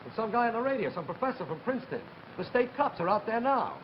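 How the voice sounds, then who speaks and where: Breathless alarmed buddy, rapid lively delivery, dull noisy old TV audio. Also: male voice, sits high. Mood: excited alarm. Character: breathless excitable bearer of alarming news. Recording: muffled, noisy TV soundtrack.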